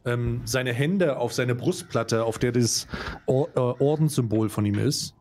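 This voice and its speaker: A man with a medium-pitched voice plays a tabletop game. He makes up narration about his own character in the third person. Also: a little slow, darkish tone. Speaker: player in tabletop role-play.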